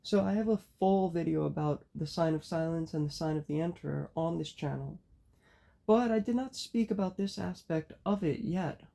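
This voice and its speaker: A woman with a raspy, hoarse voice from a cold, giving a measured spoken lecture as host of her own channel. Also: deep voice, mellow. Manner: measured, explanatory delivery.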